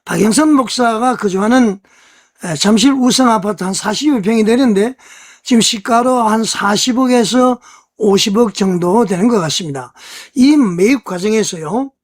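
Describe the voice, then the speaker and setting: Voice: medium pitch, moderate pace. A news commentator on an online church news channel, explaining conversationally and putting rhetorical questions to the audience.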